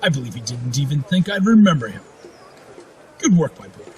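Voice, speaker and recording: An actor playing a magnanimous noble duke in a fantasy radio drama, measured and formal. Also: male voice, a little high, very animated, a little mellow. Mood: gracious. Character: magnanimous noble duke, dignified veteran lord.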